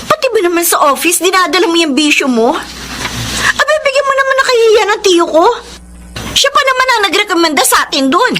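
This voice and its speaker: A woman's voice: very high-pitched, big pitch swings, fairly quick, bright, forceful tone, exaggerated voice. A Filipino-accented woman playing an exasperated, scolding girlfriend in a radio drama. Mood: exasperated, reproachful.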